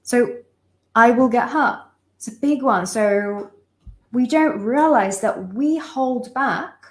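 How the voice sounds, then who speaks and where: Young woman from lithuania coaching a live class, fervent and repetitive, calls everyone my loves, voice on the high side. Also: takes it slowly. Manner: emphatic, impassioned delivery with lists of repeated phrases; addresses listeners warmly as sisters and loves. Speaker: relationship coach. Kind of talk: live online masterclass talk.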